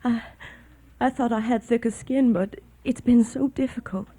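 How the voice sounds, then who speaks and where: An actress playing a proud, sorrowful young Southern wife in a radio drama. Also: female voice, fairly high pitch, steady tone, normal speed, warm tone, lightly acted. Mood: weary, sad.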